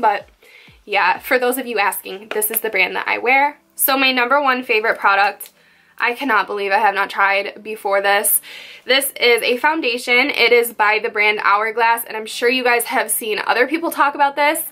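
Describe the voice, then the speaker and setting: A young woman vlogging about her makeup favorites in a chatty, candid way, a little croaky from being sick. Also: fairly high pitch, pushed and bright. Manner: chatty and candid, rambling asides. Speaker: beauty vlogger. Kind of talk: casual makeup favorites chat to camera.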